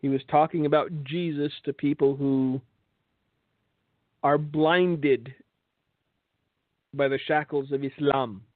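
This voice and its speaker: Male religious broadcast host, slightly high voice, sardonic monologue with pointed rhetorical questions, thin phone-like sound. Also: fairly even intonation, slow pace, pauses often. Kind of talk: political and religious monologue commentary. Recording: thin phone-like band, echoey room.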